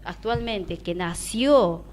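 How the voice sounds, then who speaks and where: A woman's voice: medium pitch, takes it slowly, slight background noise. Opinionated woman talking radio. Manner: animated, opinionated, searching for words. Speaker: radio host. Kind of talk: casual radio discussion.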